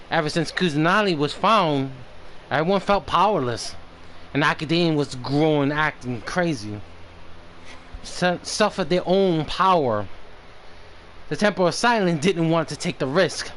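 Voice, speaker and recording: A man doing casual livestream commentary on a game, halting as he stumbles over names and repeats words. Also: fairly high pitch, a bit bright. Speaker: game streamer. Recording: slight background noise on stream.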